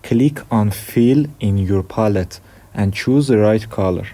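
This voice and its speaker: Young iranian guy, low mellow voice, calm step by step software lesson. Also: takes it slowly. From Iran. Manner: plain step-by-step instructions. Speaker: tutorial host. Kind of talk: software tutorial. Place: dim room at a desk.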